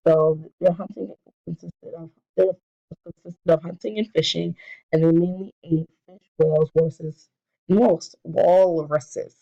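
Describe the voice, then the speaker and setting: A young woman presents her class essay while stuffy from a cold. Her voice has a gravelly edge, and she stumbles through restarts and fillers. The recording sounds dull and lo-fi. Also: on the low side, steady tone, a little slow. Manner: hesitant, many fillers and restarts. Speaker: student presenter.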